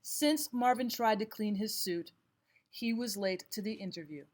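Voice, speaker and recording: A woman teacher giving scripted instructional narration, explaining and reading examples out deliberately. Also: mid-range voice, darkish tone, a little hiss or hum. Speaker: language arts teacher.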